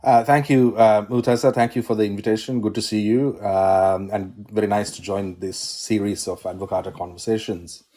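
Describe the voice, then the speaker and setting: A man in his forties from Sri Lanka, a constitutional law academic, giving measured interview answers with many pauses and restarts over a video call. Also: fairly low pitch, steady tone, warm tone.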